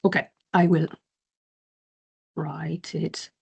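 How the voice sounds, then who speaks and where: Slow, hesitant woman chairing an online meeting. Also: low voice, flat delivery, vocal fry, a little mellow, slightly rough, dull audio. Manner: hesitant, many fillers and restarts. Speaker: chair of a museum committee.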